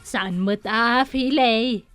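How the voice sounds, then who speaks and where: A woman playing a gossipy, motherly storekeeper in a comedy radio drama, chatty, with a drawn-out teasing delivery. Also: a little high, music in the background. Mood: bossy.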